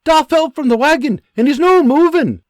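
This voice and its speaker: A man doing an eager young lad, joking, lively tone. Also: high voice, a little slow, no room sound, studio quality, pitched above the performer's own voice, exaggerated voice. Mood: urgent. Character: cheeky young Scottish farm son.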